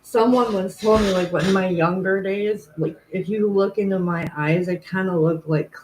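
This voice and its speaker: A woman's voice: medium pitch, moderate pace, darkish tone, poor audio. Woman tarot reader, casual rambling chat, commanding tone, light background hiss. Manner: rambling, self-correcting, frequent "like" and "um".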